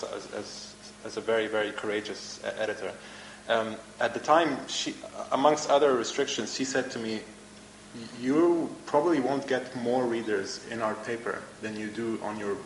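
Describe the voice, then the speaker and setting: A man from Egypt, a native Arabic speaker, answering interview questions on stage in a halting way, often repeating words. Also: lowish voice, creaky, a little hiss or hum. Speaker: guest cartoonist. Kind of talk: live event interview. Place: event hall with audience. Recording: echoey room with faint ambience.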